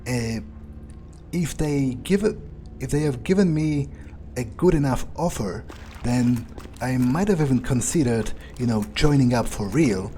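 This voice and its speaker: A man's voice: mid-range voice, expressive, measured pace, warm tone, slight background noise. A man commentating a video game playthrough in character, musing to himself in a casual, dry way. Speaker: gamer playing in character. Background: faint game music.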